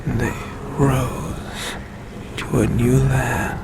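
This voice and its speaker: An ancient eldritch god, acted by a man, tells his tale in a creaky, breathy murmur that often sinks to a whisper. He speaks extremely slowly and deliberately, sinister and domineering, in a close-miked ASMR roleplay. A loud rumble of noise runs behind the voice. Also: level tone. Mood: ominous, patient. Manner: slow, deliberate, menacing, long pauses. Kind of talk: ASMR roleplay, scripted storytelling.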